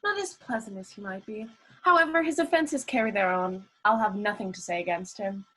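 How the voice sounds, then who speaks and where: A woman's voice: medium pitch, brisk, sharp tone, rough recording. A young woman acting a cheerful, forgiving relative of a miser in a radio play. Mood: good-humored, forgiving.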